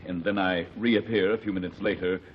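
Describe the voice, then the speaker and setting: Guy as a cagey defendant, unsure answers, lowish voice, muffled old radio. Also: steady tone, fairly quick, lightly acted. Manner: hesitant, evasive answers. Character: uneasy, vague male actor on trial. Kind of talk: 1940s radio acting. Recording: dull old radio broadcast, faint hiss.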